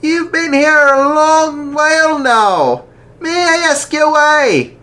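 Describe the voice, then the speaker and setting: A reader playing a jolly old archbishop. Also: male voice, very high voice, lively intonation, slow pace, pitched above the performer's own voice, exaggerated voice. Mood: kindly. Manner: kindly, gentle. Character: tall jolly older male archbishop.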